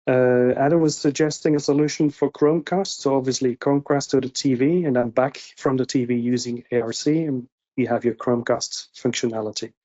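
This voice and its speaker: A man's voice: medium pitch, fairly even intonation, a little fast, dark tone. A middle-aged man moderating an informal online Q&A, reading out technical questions from the audience. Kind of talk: informal webinar Q&A. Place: indoor room on a video call. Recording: muffled video-call audio.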